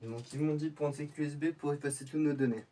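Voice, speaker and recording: A man's voice: fairly high pitch, steady tone, fast talker, warm tone, distant mic, close to an everyday voice. A man playing an undercover infiltrator who mutters his plans to himself. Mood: pensive. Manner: muttering to himself, thinking aloud. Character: male undercover infiltrator planning his cover. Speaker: infiltrator character. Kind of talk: scripted in-character monologue.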